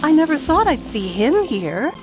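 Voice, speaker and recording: A woman's voice: high-pitched, big pitch swings, normal speed, low-quality recording. A woman voicing an admiring, wistful townsperson in a video game. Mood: starstruck regret. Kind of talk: video game character acting. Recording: violin game music behind the voice.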